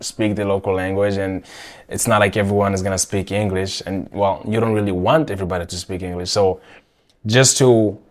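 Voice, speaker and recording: A man's voice: deep voice, steady tone, a little fast. A young man born in Rwanda, hosting a podcast and chatting casually, often restarting his sentences. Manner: chatty, self-correcting, frequent restarts. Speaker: podcast host. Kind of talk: casual conversation.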